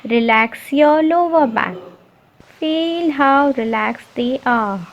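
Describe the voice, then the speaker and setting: A woman leading a guided meditation, giving repetitive, soothing instructions with deliberate pauses. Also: high voice. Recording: muffled lo-fi, noisy, birdsong behind. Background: birdsong and ambient nature sounds.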